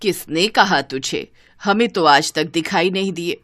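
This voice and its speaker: Woman playing a low-voiced teasing Indian mother. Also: a little slow, a bit bright, no echo, studio quality. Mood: gently chiding. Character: middle-aged mother and mother-in-law, teasing, fond, gently scolding.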